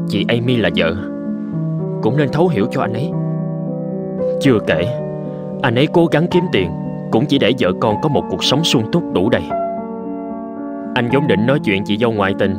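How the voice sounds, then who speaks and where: A man playing a calm, principled young husband in an animated drama, speaking in a measured, reassuring way. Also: mid-range voice, close to an everyday voice. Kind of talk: Vietnamese animated drama dubbing. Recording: loud music behind the voice.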